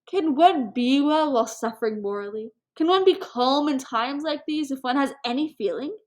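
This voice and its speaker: A woman voicing an impulsive society hostess of about forty, animated and theatrical, in a storybook reading. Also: high voice, moderate pace, natural voice. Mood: affected concern. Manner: animated, impetuous, declamatory.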